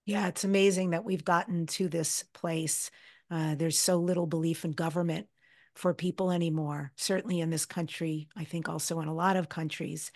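A woman's voice: fairly low pitch, steady tone, normal speed. An American woman speaking in a reflective, candid, conversational way as a podcast host interviewing a guest.

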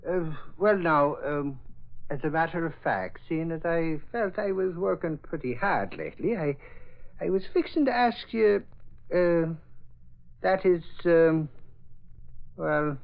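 A man's voice: fairly high pitch, animated, a little slow, creaky. An actor playing a bumbling, boastful newspaperman, hemming and blustering in a 1940s radio drama. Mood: sheepish. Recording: dull old radio recording.

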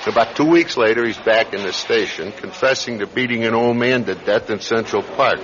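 A man acts a weary New cop with a lowish voice. He is gruff, dismissive and sardonic, speaking in street-wise radio drama style on a slightly muffled recording. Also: normal speed, noisy background, lightly acted. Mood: matter-of-fact. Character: weary, dismissive New York police sergeant.

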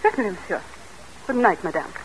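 A woman's voice: mid-range voice, animated, fairly quick, lightly acted. An actress playing a kindly, philosophical lady's maid with a French accent in an old-time radio drama. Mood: deferential. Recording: noisy vintage radio broadcast.

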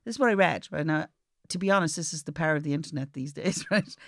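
A woman's voice: low voice, fast, creaky, no echo, clean studio sound. A woman with an Irish accent chatting casually and comically as a podcast co-host, telling things in a chatty, anecdotal way.

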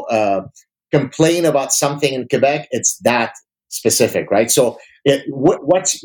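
A man's voice: medium pitch, a little mellow, poor audio. A man from Lebanon whose first language is Arabic, giving emphatic, articulate opinions in formal vocabulary as an academic interview guest.

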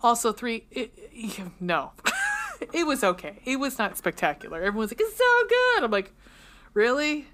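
A woman with a low voice co-hosting a podcast, chatting casually and swearing freely, with frequent ums and trailing thoughts. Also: huge pitch swings, normal speed, fairly bright tone. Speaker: podcast co-host. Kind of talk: casual chat.

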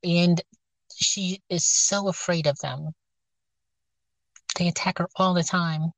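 A woman's voice: on the low side, steady tone, slow, no echo. Scratchy-voiced woman, hesitant chat. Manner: rambling, hesitant, many fillers and restarts. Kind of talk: casual chat. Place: outdoors, near her car.

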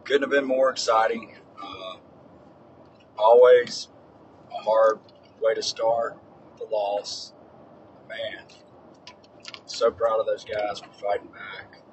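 A man chatting casually and excitedly during a road trip recap, recorded inside a moving vehicle. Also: on the low side, slow, long pauses. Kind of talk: casual chat. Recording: distant mic with road noise.

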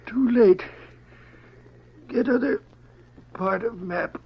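Old prospector at death's door, high-pitched, dragging and feeble, dull radio western recording. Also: male voice, slow pace, vocal fry, husky, full character voice. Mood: dying, urgent. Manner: broken, clipped phrases. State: dying, weak, halting. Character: dying old frontier prospector, weak and gasping.

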